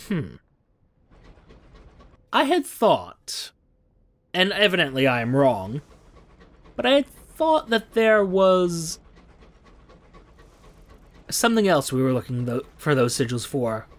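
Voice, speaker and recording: A man's voice: a little high, very animated, slow, pauses often. A man playing a space exploration game, thinking aloud with frequent hums and reading out the game's text, a little strained as he is losing his voice. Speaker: game streamer. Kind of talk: let's play commentary with reading of game text.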